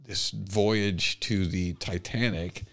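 A man in casual podcast conversation as a co-host, with a wry, halting way of thinking aloud. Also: deep voice, steady tone, fairly bright tone.

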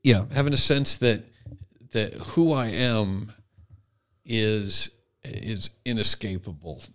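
Bright, forceful man making reflective points. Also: fairly low pitch, takes it slowly. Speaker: podcast co-host. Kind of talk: conversational commentary.

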